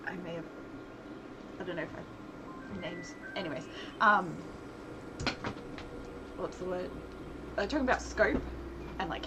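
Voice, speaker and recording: A woman streamer and game developer chatting casually on a livestream, thinking aloud in a rambling way with soft laughs. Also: mid-range voice. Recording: loud background noise, vehicle sounds.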